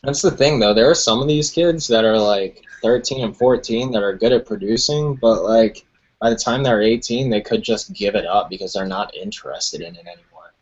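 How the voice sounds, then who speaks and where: A man's voice: lowish voice, moderate pace. A man in his early twenties chatting casually about music, laid-back and profane, laughing often. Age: 20s. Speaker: music producer guest. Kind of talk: casual podcast chat. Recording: dull lo-fi stream audio.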